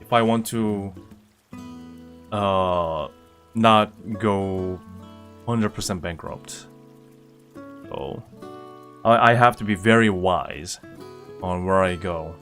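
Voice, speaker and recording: A young man from Indonesia chats loosely with his viewers, full of fillers and restarts. His voice sits on the low side. Soft guitar music plays faintly underneath. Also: slow, pauses often. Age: young adult. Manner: relaxed, rambling with fillers and restarts. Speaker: streamer. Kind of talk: casual chat reading viewer comments.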